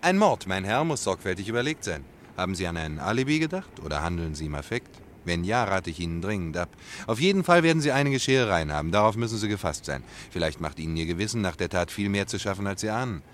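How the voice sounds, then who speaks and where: A man's voice: low voice, sing-song, fast talker, few pauses, slight background noise. A man playing an ironic, talkative stage actor in a German radio play, speaking with theatrical, mocking courtesy.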